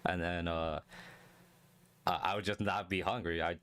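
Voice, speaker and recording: A young man streaming a casual solo chat, calm and low-energy, talking close to the mic and rambling with many restarts. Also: moderate pace, no echo. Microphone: close desk mic.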